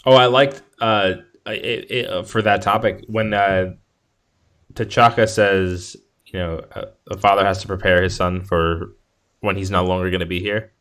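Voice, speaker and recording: A man chatting casually as a podcast co-host about film, halting and rephrasing as he goes. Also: deep voice, steady tone, a bit bright. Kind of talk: casual film discussion chat.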